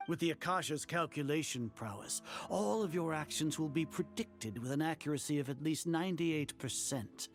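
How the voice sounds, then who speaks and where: An actor playing an arrogant older grand sage in a video game, speaking in a pompous, formal and condescending way. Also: male voice, fairly high pitch, expressive, moderate pace, hardly any pauses, soft background music. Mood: smug, cold.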